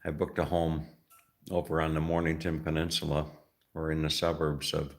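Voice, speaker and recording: A middle-aged man from the United States talking casually as a travel vlogger, rambling and halting with many fillers and restarts. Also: rumbling low, completely flat delivery. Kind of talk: casual vlog talk.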